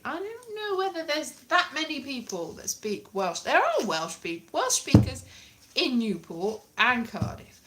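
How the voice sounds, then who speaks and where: A woman, perhaps in her late thirties, chatting casually to camera in an animated, rambling, dryly jokey way. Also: on the high side, a little hiss or hum, low-quality recording. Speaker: vlogger, language learner. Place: small plain room indoors.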